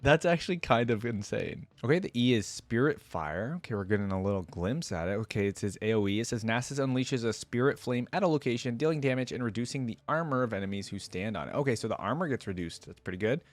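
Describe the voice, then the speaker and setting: A man hosting a reaction video, giving informal, excitable commentary and thinking aloud as he goes. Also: mid-range voice, fast, hardly any pauses, no echo. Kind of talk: casual reaction commentary with some reading aloud.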